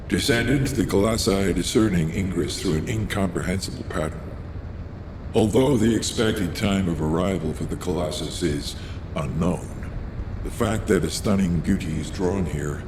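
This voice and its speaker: Guy voicing grave military boss man in a game, deep af, formal n stern, big echo. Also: close to an everyday voice. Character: grave, commanding male military leader. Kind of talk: video game cutscene acting. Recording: echoey hall reverb, background ambience noise.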